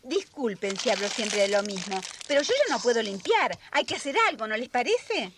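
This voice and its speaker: A homely, doting mother with a Rioplatense accent in a radio drama. She is high and animated, always fondly fussing, with clear noise in the recording. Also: normal speed. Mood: gently complaining. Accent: Rioplatense Argentine. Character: doting, fussy, homely mother of grown children.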